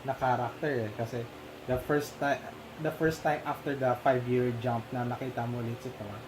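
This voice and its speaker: A Filipino man talks through a film with a friend, stopping and restarting, laughing along the way. His voice sits at a mid pitch. A steady hiss of noise runs under the recording. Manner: halting, many restarts and fillers, laughs often. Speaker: movie discussion co-host. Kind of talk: casual Taglish chat. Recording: noisy background.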